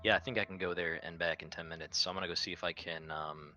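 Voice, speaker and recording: A man's voice: low voice, steady tone, quick pace, sharp tone. A blunt, sweary man playing a tabletop roleplaying game in casual in-character banter.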